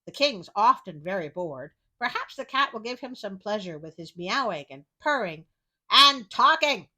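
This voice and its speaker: A woman's voice: medium pitch, very animated, moderate pace, pushed and bright, exaggerated voice. A woman voicing a brash, incredulous male castle sentry in a storybook reading, challenging and exclamatory. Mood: indulgent.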